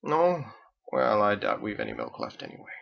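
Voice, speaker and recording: A man acts out an educated ship's captain in an audiobook, drowsy and musing. The voice is quiet, low-key and creaky, with bookish, unhurried phrasing. The recording is a little dull. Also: wildly swooping pitch, full character voice. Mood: ruminating.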